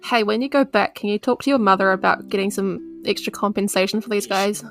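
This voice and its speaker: A woman's voice: mid-range voice, fast, soft background music. A woman chatting casually in play during a tabletop game. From New Zealand.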